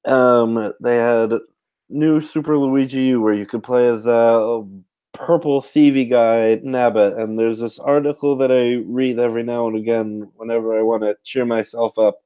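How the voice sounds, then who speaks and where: A man hosting a podcast in casual chat, speaking in halting, measured phrases with pauses. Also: mid-range voice, fairly even intonation, dark tone, smooth. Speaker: podcast host.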